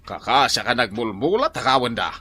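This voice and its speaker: A man's voice: mid-range voice, big pitch swings, fairly quick, bright, forceful tone, breathy edge, exaggerated voice. An actor playing an irritable old farmer father, blustering and complaining in a radio drama. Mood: aggrieved. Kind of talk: Filipino radio drama acting.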